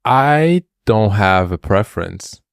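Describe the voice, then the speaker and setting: A man's voice: lowish voice, lively intonation, slow pace, gravelly, clean tone, no room sound, clean studio sound. A man co-hosting a podcast, chatting in an upbeat, playful way. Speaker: podcast co-host. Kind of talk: casual chat.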